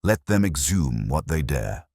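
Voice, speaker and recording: A man voicing a brooding, sharp-minded Victorian gentleman detective in an audiobook, speaking in terse, deliberate, formal phrases. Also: extremely low pitch, big pitch swings, dry recording, clean studio sound, heavily acted. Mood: dismissive.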